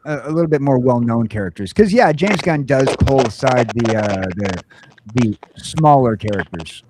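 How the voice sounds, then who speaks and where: A man hosting a casual geeky chat podcast, talking in halting bursts full of fillers and restarts. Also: medium pitch, expressive, background noise. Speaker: podcast host.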